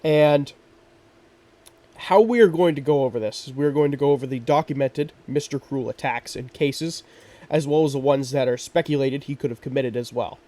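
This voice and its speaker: A man's voice: mid-range voice, normal speed, dark tone, far from the mic. A man hosting a true crime podcast, sounding congested and stuffy from allergies, talking earnestly with frequent ums and restarts. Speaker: podcast host.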